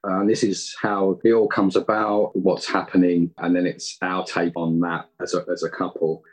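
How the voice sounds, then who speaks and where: Low, husky man talking casually. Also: level tone, moderate pace, low-quality recording. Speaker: guest, audio drama writer and editor. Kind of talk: casual interview talk.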